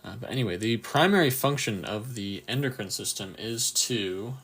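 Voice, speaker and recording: A man with a croaky, just-woken-up voice runs a casual live quiz for anatomy students, reading answer options and cheering on viewers' replies. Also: on the low side, expressive, normal speed, fairly bright tone, low-quality recording. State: just woke up, a bit tired. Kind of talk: casual livestream quiz review.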